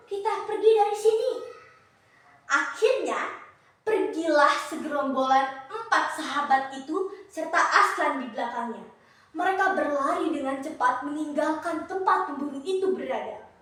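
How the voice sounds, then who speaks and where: A teenage girl, telling a children's story in a deliberate, theatrical, declamatory way. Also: high voice, a bit bright. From Indonesia. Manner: deliberate, declamatory, addresses listeners directly. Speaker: student storyteller in a contest. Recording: very echoey room, distant mic.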